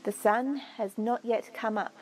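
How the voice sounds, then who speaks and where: A woman narrating a guided meditation in a soothing, measured way with long pauses. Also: fairly high pitch, fairly even intonation, slight background noise, poor audio. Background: music.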